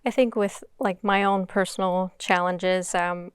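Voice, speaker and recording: A woman moderating a conversational stage panel, speaking in a halting way with frequent ums. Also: on the low side, fairly even intonation, clean tone, dry recording. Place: conference stage.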